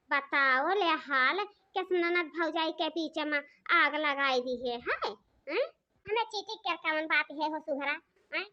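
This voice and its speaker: Dramatic village woman, extremely high thin voice, Awadhi accent, scolding and wailing, cartoon comedy, muffled audio. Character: squabbling, dramatic village women of a cartoon family. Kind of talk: comedy cartoon dialogue in rural dialect.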